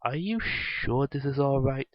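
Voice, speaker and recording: A man's voice: medium pitch, lively intonation, measured pace, no echo, highs cut off, natural voice. A man reading the part of a brash, confused young female pony in a story, with a blunt, casual manner. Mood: blunt, unsure.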